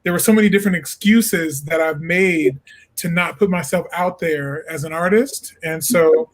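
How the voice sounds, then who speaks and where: Higher-voiced earnest male jazz singer. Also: moderate pace. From Illinois, USA. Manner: earnest, reflective, candid. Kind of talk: casual interview talk.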